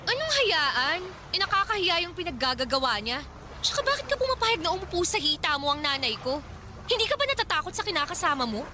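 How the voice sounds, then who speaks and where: A woman's voice: extremely high pitch, big pitch swings, fairly quick, noisy background. A woman playing an indignant, embarrassed young daughter with a Filipino accent in a radio drama, scolding in exasperation. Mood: exasperated, scolding. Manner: sharp, exasperated. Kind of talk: Tagalog radio drama acting.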